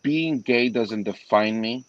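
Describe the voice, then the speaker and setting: A man talking earnestly in a casual group chat, pausing and restarting often. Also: mid-range voice, dry recording, highs cut off. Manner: halting, many restarts, earnest. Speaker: guest.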